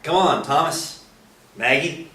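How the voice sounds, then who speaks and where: An actor playing a genial, fun-loving priest in a staged play reading. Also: male voice, a little high, lively intonation, slow pace, bright, forceful tone, heavily acted. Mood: playful. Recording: roomy distant stage recording, faint noise.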